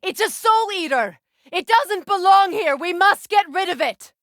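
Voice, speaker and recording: An actress playing a grave, sorrowful exiled woman of magic in a video game, speaking in measured, formal phrases. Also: very high voice, animated, a bit bright, no room sound, studio quality, full character voice. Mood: urgent, alarmed. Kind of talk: dramatic game character dialogue.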